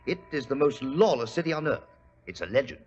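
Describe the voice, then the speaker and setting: An actor playing a suave, formal, grave spice trader in a 1940s radio drama. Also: male voice, medium pitch, big pitch swings, a little fast, faint music behind, lightly acted. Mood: grave, ominous. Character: suave, grave foreign-based spice trader, hard of hearing. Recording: muffled old radio recording, faint hiss.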